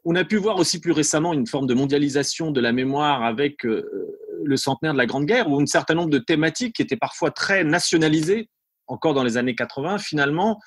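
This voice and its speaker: Husky man, dry sound, thinking aloud. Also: on the high side, no room sound. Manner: hesitant, repeating words, tagging phrases with "hein". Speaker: academic historian, interview guest. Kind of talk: unscripted analytical commentary.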